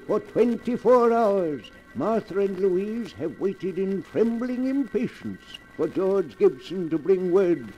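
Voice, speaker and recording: An ominous narrator of a 1940s radio mystery. His high, mellow voice is solemn and theatrical, with faint music behind it. The recording is an old broadcast. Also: big pitch swings, takes it slowly, few pauses, highs cut off, heavily acted. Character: ominous storyteller of a mystery tale.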